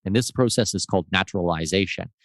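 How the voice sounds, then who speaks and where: A man speaking as a guest expert on a call, explaining residency and tax step by step in a measured, casual way. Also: low voice, no room sound, studio quality. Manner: explanatory, measured, gives lists of examples. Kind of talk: casual interview answers.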